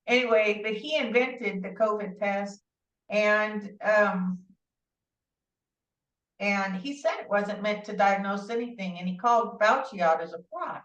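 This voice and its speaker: A woman guest telling her story in a rambling, animated way, with many asides and restarts, heard through a remote call. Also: medium pitch, measured pace. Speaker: interview guest. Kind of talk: casual conversational storytelling. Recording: distant, roomy, dull-sounding audio.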